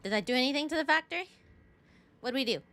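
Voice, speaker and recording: A woman's voice: high-pitched, expressive, very fast, fairly bright tone. A woman giving casual, playful commentary as she streams a game, reacting and joking.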